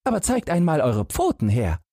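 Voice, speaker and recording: A man's voice: fairly high pitch, wildly swooping pitch, warm tone, dry recording, clean studio sound, pitched above the performer's own voice, full character voice. A man voicing a fearless, naive young lad in a fairy tale, in a light, raised, cheeky voice. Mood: cheeky, unfazed. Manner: carefree, unfazed, brisk.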